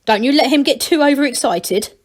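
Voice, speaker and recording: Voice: high voice, fairly quick, pitched above the performer's own voice. Woman putting on a bossy old gnome granny. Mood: scolding. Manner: scolding, commanding, no-nonsense. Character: bossy, self-satisfied old gnome granny nursing the sick.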